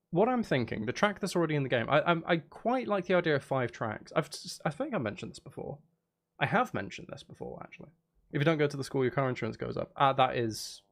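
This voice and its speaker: Guy streaming, casual rambly chat, bouncy pitch, bit of vocal fry, playful, repeats himself. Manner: playful, rambling, self-interrupting, often repeating phrases. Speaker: live streamer, music and game maker. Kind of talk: casual livestream chat with viewers while working.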